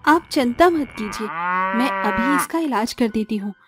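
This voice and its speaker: A woman's voice: fairly high pitch, expressive, normal speed, music in the background. A woman voicing a caring, principled young village animal doctor in a cartoon, earnest and firm. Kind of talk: cartoon story voice acting.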